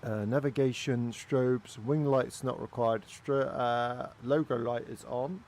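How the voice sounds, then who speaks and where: Gravelly Welsh man over engine hum. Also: medium pitch, fairly even intonation, slow pace, darkish tone. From Wales. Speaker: flight simulator streamer. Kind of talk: casual gaming commentary with chat.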